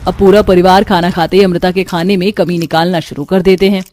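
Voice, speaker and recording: A woman's voice: on the low side, little pitch movement, fairly quick, a little mellow, faint music behind. A woman narrating a scripted cartoon story.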